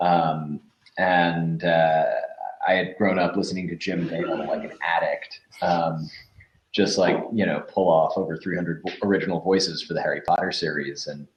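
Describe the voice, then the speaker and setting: A man's voice: sits low, fairly even intonation, moderate pace. A man who works as a voice actor, chatting casually as an interview guest. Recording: echoey room, dull lo-fi audio.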